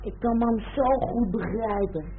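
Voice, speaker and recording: Actress doing blunt unhappy young woman, high pitched, old radio drama, tinny with a bit of hiss. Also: measured pace, natural voice. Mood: dejected, irritated. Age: young adult. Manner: terse, blunt. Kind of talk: Dutch radio play acting.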